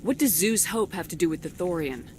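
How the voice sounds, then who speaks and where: A woman's voice: on the low side, expressive, fairly quick, background noise, close to an everyday voice. A woman playing a resolute military commander in a video game, with a direct, businesslike manner. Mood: brisk, probing.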